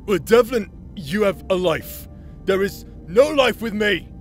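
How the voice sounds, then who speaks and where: A man acting a drunk, self-loathing, protective villain with a halting, foul-mouthed, emotional delivery in an audio roleplay. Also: sits high, lively intonation, faint music behind. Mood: agitated, pleading.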